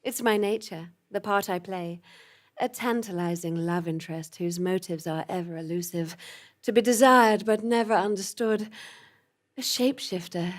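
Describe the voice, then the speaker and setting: A woman's voice: medium pitch, steady tone, moderate pace, lightly acted. A woman playing a seductive, knowing femme fatale double agent in a theatrical audio comedy drama. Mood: coy, gloating.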